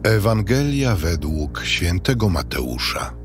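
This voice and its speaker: A man's voice: low-pitched, very animated, slow pace, over music, full character voice. A man solemnly announcing scripted titles for a scripture audio drama.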